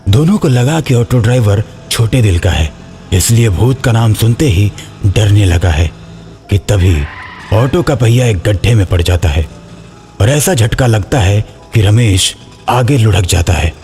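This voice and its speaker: A man's voice: lowish voice, lively intonation, unhurried, darkish tone, faint music behind. A man reading a scripted horror story as its narrator.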